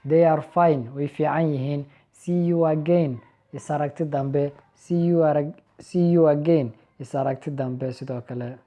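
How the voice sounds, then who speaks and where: A young man with a Somali accent teaching an English lesson. He reads each English line slowly and a bit louder, then gives the translation in a quieter voice. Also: a little high, steady tone. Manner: reads English lines slow and raised, then explains quietly. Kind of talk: language lesson, reading sample dialogues and translating.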